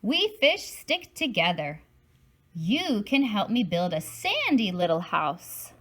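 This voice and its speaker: A woman's voice: medium pitch, big pitch swings, takes it slowly, a bit bright, heavily acted. A woman voicing a kind, reassuring boy fish in a storybook, cheerful and comforting.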